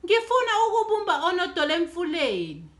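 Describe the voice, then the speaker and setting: A woman's voice: extremely high pitch, wildly swooping pitch, fast, voice pushed up, full character voice. A woman voicing a stubborn little girl who is poorly but wants to play, in a children's storybook. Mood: defiant pleading. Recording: echoey room.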